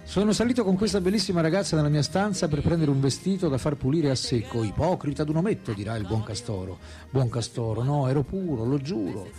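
A man's voice: a little high, animated, quick pace, hardly any pauses, dark tone, faint music behind, natural voice. An actor playing a reflective older philosopher in a radio drama, recalling his youth in a musing, candid, hesitant way. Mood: confiding.

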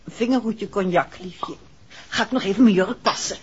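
An actress playing an observant, chatty detective's wife in a 1960s radio drama, speaking in an emphatic conversational way. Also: female voice, medium pitch, moderate pace, natural voice. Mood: light, playful. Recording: muffled, noisy old radio recording.

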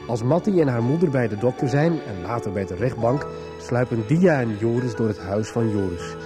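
A man reads a scripted story recap for a radio serial as its narrator. His voice sits at a medium pitch, with clear music underneath. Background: prominent music.

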